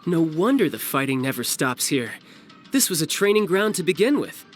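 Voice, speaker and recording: A cocky battle-loving young warrior. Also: male voice, a little high, big pitch swings, moderate pace, faint music behind. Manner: breezy, confident and playful. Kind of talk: video game cutscene dialogue.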